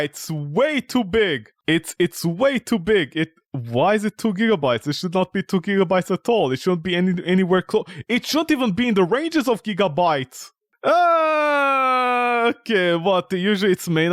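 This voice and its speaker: A man doing casual, animated commentary on a game, reacting with mocking disbelief and reading bits aloud. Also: sits high, a little slow, no echo, studio quality. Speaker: gaming video host.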